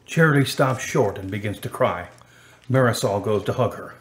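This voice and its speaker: A man's voice: on the low side, normal speed, slight background noise. A man reading scripted scene narration for a radio drama in a plain, matter-of-fact way.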